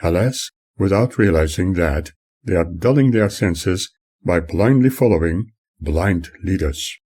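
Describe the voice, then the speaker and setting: A man reading his own memoir as scripted audiobook narration, in a formal, emphatic and deliberate manner. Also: deep voice, animated, dark tone, breathy edge.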